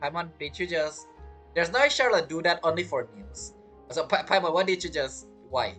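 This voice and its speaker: A woman's voice: lowish voice, lively intonation, normal speed, a bit bright, soft background music. A woman streamer giving casual game commentary, chatty and reacting aloud in short fragments. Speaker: gaming streamer.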